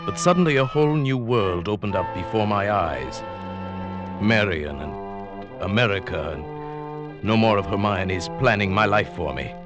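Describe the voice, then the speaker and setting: An actor playing a meek, henpecked older husband who narrates his secret plot, mild, hesitant and deliberate, in old-time radio suspense style. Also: fairly low pitch, expressive, lo-fi sound, music in the background. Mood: confiding, scheming.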